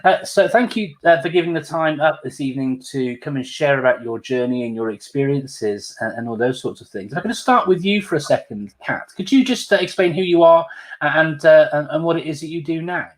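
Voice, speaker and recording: A man's voice: on the high side, fairly quick. A man hosting an online panel, speaking hesitantly with many fillers and restarts. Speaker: host.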